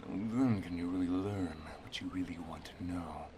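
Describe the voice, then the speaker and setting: Man acting as protective wizard mentor, low voice, soft and whispery, affectionate, instructive, intimate ASMR roleplay, noisy ambient recording. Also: fairly even intonation, a little fast, natural voice. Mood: solemn, protective. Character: protective, affectionate male wizard master teaching his apprentice and lover. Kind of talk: ASMR roleplay, intimate second-person monologue.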